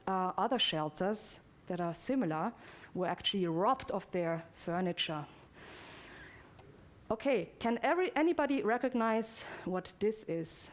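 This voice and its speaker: A woman giving an informal live guided demonstration in a chatty way, putting playful questions to her audience. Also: medium pitch. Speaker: presenter.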